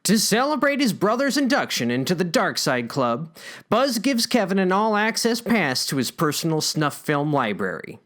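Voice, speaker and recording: A man's voice: high-pitched, sing-song, moderate pace, a bit bright. A man reading a scripted comic story in a theatrical way, with wry asides. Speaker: writer and narrator of a parody story.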